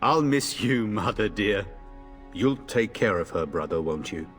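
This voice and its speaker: Casting: cocky, teasing young noble warrior for a fantasy game; lower register, music behind him. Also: male voice, lively intonation, a little slow, a bit bright, long reverb tail. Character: jaunty, teasing young nobleman soldier, husband and father. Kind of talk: video game voice acting.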